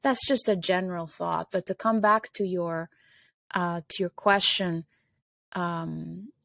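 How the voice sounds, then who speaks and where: A woman's voice: lowish voice, steady tone, takes it slowly, creaky, a bit bright, dry recording, clean studio sound. A middle-aged woman from Albania, a guest in an interview, speaking reflectively with many fillers.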